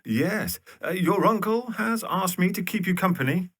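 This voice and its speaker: A man's voice: high voice, animated, normal speed, a bit bright, breathy edge, heavily acted. A man playing a courteous, gallant army captain in a period drama, with a hesitant, courtly way of talking. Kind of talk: period radio drama acting.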